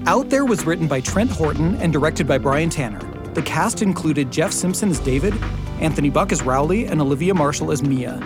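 A male podcast host with a fairly high voice. He narrates and chats in a presenter's scripted style, with clear music playing behind him. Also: animated, brisk.

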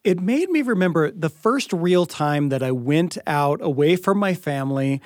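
A man's voice: on the high side, lively intonation, normal speed, a little mellow, dry recording. A man, a radio producer, chatting casually and reminiscing on a close studio mic.

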